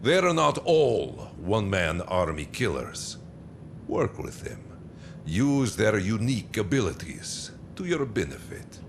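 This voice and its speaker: An actor playing a menacing, silky, commanding warlord in a video game cutscene, theatrical and condescending in a deliberate delivery. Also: male voice, on the low side, very animated, vocal fry, slight background noise, full character voice. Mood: proud.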